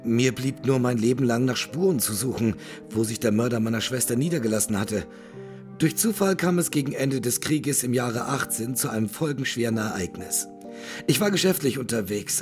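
A man playing a grave, courteous older gentleman haunted by tragedy, speaking formally and with measure in a German audio drama. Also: mid-range voice, close to an everyday voice.

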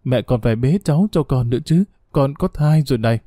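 A man's voice: a little high, lively intonation, brisk, warm tone, dry recording, studio quality, close to an everyday voice. A man voicing a frantic young pregnant woman begging for her dying mother, pleading and choked with tears. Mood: sobbing, pleading.

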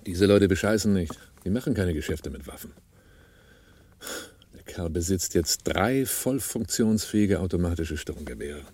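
A cool, seasoned arms dealer in a radio play. His voice is low and husky, and he talks in a dry, matter-of-fact way with a wry edge. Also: moderate pace, warm tone. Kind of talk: radio drama dialogue and narration.

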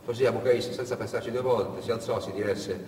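A man telling a story as a theatrical monologue, with a dramatic, deliberate delivery. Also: lowish voice, darkish tone. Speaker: actor-storyteller. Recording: echoey hall, distant mic, background noise.

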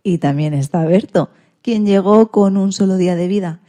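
A woman's voice: mid-range voice, moderate pace, mellow. A woman hosting a radio show in a conversational presenting style.

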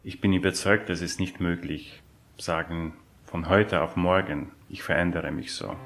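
A middle-aged man giving a reflective personal account as an interviewee, in a halting, pensive way. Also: low-pitched, steady tone, fairly bright tone, slight background noise.

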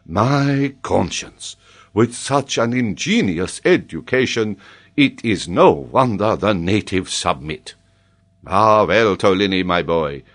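An excitable French professor acted out by a male narrator, pitched up and swooping dramatically. He draws out his exclamations with theatrical, mock-admiring amazement, as in a storybook.

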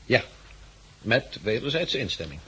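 An actor playing a friendly helicopter pilot recruiting survivors, with measured, courteous explanations in 1960s Dutch radio drama style. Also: male voice, lowish voice, very animated, sharp tone, slight background noise, full character voice, low-quality recording. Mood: earnest, persuasive.

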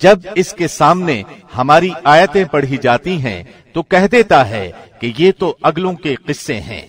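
A man reading a scripture translation from a script in a formal, measured radio-announcer manner. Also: fairly high pitch, lively intonation. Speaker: translation reader, radio presenter.

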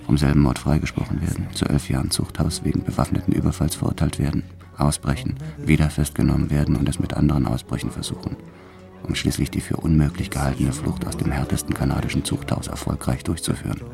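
A man's voice: extremely low pitch, fairly even intonation, fast, vocal fry, exaggerated voice. An actor playing a hardened, reckless French bank robber who tells his story in a cold, matter-of-fact, boastful way for a radio drama. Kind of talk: first-person radio drama narration.

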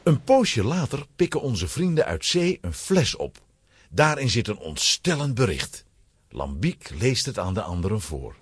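A man's voice: lowish voice, sing-song, unhurried, breathy edge. A man narrating a scripted children's adventure story in a dramatic style.

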